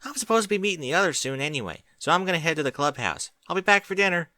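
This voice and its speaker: A chirpy, eager young filly speaks in a high, bright voice that jumps lively up and down, as a man puts her on. The sound is close and dry, with no room around it. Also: rapid-fire, no room sound. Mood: cheerful.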